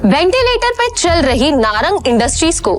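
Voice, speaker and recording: An actress playing a dramatic TV business news anchor with an urgent, formal headline delivery. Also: female voice, high voice, very animated, normal speed, soft background music.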